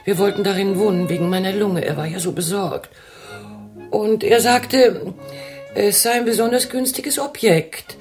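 A woman's voice: mid-range voice, close to an everyday voice. An actress playing a genteel, lonely, deceived lady of means, hesitant and confiding, in a radio crime drama. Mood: hesitant, wistful. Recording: echoey hall with long reverb.

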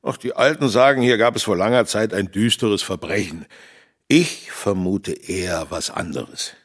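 An actor playing a brooding male villager who tells eerie local lore in a measured, ominous way for a children's radio drama. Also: lowish voice, breathy edge. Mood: mysterious.

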